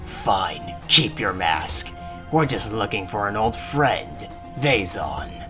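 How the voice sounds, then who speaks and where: A cunning gang leader played by a man in a fantasy cartoon. His voice is fairly low, with dry sarcasm and condescension, and music plays clearly behind him. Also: animated, takes it slowly. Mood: wary, sly.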